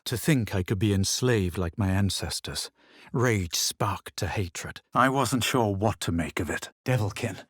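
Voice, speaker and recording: An actor voicing a self-assured, haughty adventurer and spellcaster in a fantasy video game, with a husky voice and measured, theatrical delivery. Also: male voice, medium pitch, dry recording, studio quality, exaggerated voice. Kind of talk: fantasy video game voice lines, combat barks and narrated recollections.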